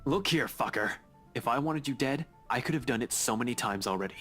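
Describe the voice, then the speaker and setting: A man's voice: mid-range voice, expressive, fairly quick, fairly bright tone, soft background music. A man voicing a blunt, defiant intruder in a visual novel, terse, threatening and insistent. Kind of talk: dramatic visual novel read-aloud.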